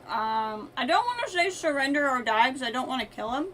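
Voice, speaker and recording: A woman's voice: sits high, very animated, fairly quick, a bit bright, low-quality recording. A woman doing casual live-stream game commentary, chatting offhand and muttering asides to herself. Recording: keyboard clatter, faint noise.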